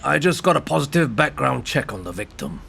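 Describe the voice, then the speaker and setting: A man's voice: medium pitch, very animated, brisk, creaky, fairly bright tone, soft background music, heavily acted. An actor playing a jaded, sardonic middle-aged male senior detective in an audio drama, curt and dry. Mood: weary, businesslike.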